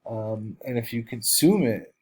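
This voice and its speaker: Stuffy-voiced male instructor, slow and measured, reads course slides with frequent pauses. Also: medium pitch, lively intonation, a little mellow, slightly rough, poor audio. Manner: slow, halting, many ums and uhs. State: stuffy from allergies. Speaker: college biology instructor. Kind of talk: recorded lecture over slides.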